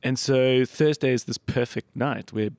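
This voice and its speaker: A middle-aged South African man hosts a casual chat in a close, dry recording. His voice is slightly croaky and sits at a medium pitch. He tells anecdotes in a self-deprecating way. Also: fairly even intonation, a little slow, a little mellow, no echo. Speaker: podcast host.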